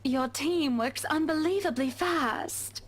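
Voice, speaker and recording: A wealthy married lady for a visual novel game. She has a very high voice and speaks in a genteel, effusive way, fully sure of herself. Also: slow, slight background noise. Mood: pleased, gracious. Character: gracious, self-assured wealthy married lady client.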